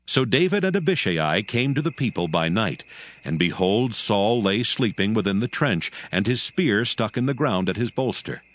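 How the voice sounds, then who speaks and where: A man narrating a dramatized audio Bible in a solemn, measured storytelling style. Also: lowish voice, big pitch swings.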